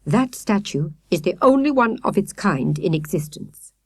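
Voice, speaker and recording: A woman's voice: mid-range voice, lively intonation, normal speed, a little mellow, husky, no echo, studio quality, voice pushed up. A woman voicing a courteous, grave male professor in a children's storybook, speaking formally and politely. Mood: grim.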